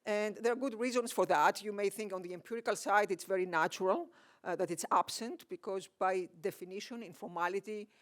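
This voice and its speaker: A man's voice: high-pitched, brisk. A man with a Greek accent giving an academic keynote at a conference, with lots of fillers and restarts. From Greece. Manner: hesitant, many fillers and restarts. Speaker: keynote speaker, economist. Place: conference hall.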